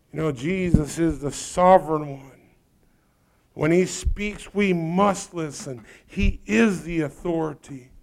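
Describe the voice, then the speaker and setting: A pastor preaching a sermon, swinging between loud urgent peaks and low quiet asides. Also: male voice, vocal fry.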